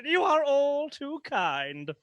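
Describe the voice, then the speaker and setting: An actor playing a playful, courtly minstrel who feigns innocence in a radio play. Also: male voice, very high-pitched, very animated, slow pace, fairly bright tone, no echo, highs cut off, heavily acted. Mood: sly. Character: sly courteous male minstrel, an outlaw in disguise.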